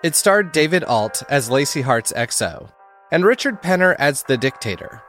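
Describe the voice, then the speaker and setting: A man's voice: on the high side, animated, moderate pace, a bit bright, faint music behind. A man announcing podcast credits and recommendations in a scripted narration style.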